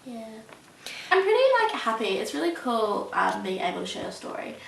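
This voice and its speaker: Hesitant young woman, gravelly. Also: on the high side, big pitch swings, a little fast, a bit bright. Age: young adult. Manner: hesitant, many ums and likes. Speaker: interviewee. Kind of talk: casual interview answers. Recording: roomy, mic at a distance, faint hiss.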